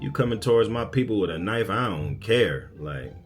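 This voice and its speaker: Low-voiced man giving casual, slangy commentary. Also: expressive, normal speed. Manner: slangy, conversational, emphatic. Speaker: reaction video host.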